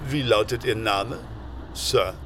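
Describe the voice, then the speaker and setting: An actor playing an impeccable butler in a radio drama, polite, clipped and impersonal. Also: male voice, on the low side, slow, fairly bright tone, slight background noise. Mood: reserved politeness.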